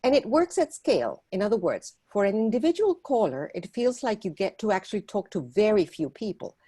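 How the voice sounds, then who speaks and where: A woman from Argentina, a volunteer organizer, giving an earnest prepared talk at a meeting and pausing often on fillers. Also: medium pitch, sing-song, warm tone, breathy edge. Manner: earnest, persuasive, with frequent filler pauses.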